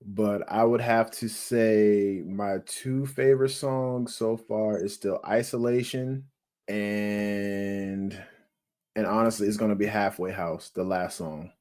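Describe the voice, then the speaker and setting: Slow-talking low-voiced man co-host. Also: fairly even intonation, a little mellow. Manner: unhurried, restarts sentences, emphatic agreement. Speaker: podcast co-host. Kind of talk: casual music review chat.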